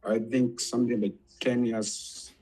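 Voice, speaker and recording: A man's voice: mid-range voice, little pitch movement, measured pace, warm tone. A nineteen-year-old man from South Sudan giving a shy, hesitant introduction as a student. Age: teens. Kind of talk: brief self-introduction.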